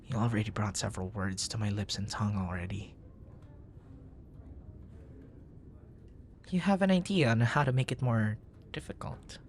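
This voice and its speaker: A man playing a charming, smitten young nerd in an ASMR boyfriend roleplay, murmuring softly in courtly, poetic phrases. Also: lowish voice, fairly quick, slight background noise, close to an everyday voice. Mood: playful, adoring.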